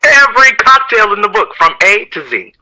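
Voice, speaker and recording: Bright, witty 1960s jazz composer. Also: male voice, high voice, big pitch swings, normal speed, heavily acted, poor audio. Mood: playful, conspiratorial. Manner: flowery, arch phrasing. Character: witty, theatrical, playful male jazz composer in 1965. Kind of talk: period audio drama dialogue.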